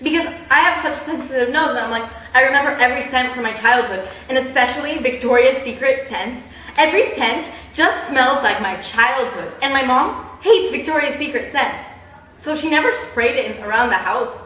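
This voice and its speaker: A young woman chatting casually in a personal vlog, with a bubbly, animated, giggly way of exaggerating things. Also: high-pitched, normal speed. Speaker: vlogger. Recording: roomy echo, distant mic, background hiss.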